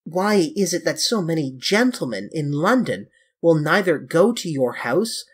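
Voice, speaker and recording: A man voicing a grave, earnest English gentleman painter, solemn and pleading, in an audiobook story. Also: big pitch swings, measured pace, husky, exaggerated voice. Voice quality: deep.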